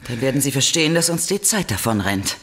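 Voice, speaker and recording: A woman's voice: extremely low pitch, sing-song, fast. An actress playing an urgent, determined woman detective in a horror audio drama, speaking earnestly and pressingly.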